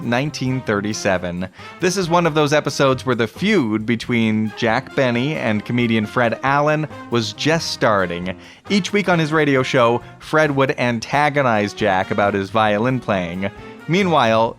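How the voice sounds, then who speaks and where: A man's voice: mid-range voice, lively intonation, normal speed, fairly bright tone, faint music behind. A man hosting a podcast, reading a scripted introduction to vintage radio episodes. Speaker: podcast host.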